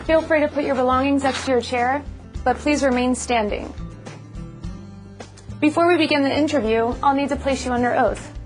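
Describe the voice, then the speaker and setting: A woman's voice: high-pitched, steady tone, moderate pace, pauses often, soft background music, lightly acted. A woman playing a courteous, businesslike immigration officer in a scripted educational dramatization, speaking in a formal, procedural way.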